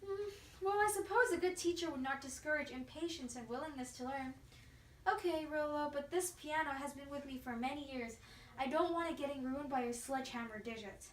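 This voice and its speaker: A woman's voice: sits high, a little fast, lightly acted. A woman acting an elderly, passionate piano virtuoso and teacher in readers theatre, with an effusive, theatrical manner. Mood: gentle. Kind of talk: amateur readers theatre performance. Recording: echoey room, distant mic, faint noise.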